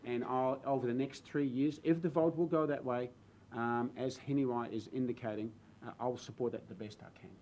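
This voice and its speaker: A man from the Netherlands with a Dutch accent, a new councillor speaking earnestly in a council chamber, halting with fillers and restarts. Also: medium pitch, fairly even intonation, a little mellow, muffled recording, slight background noise. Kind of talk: speech in a council debate. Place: council chamber with public gallery.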